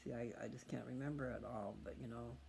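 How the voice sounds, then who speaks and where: Male, gravelly mid-pitched voice, folksy rambling chat, faint noise behind. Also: steady tone, quick pace, a little mellow. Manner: folksy, meandering, thinking aloud. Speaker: host of a scripture reading channel. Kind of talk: rambling casual chat to viewers.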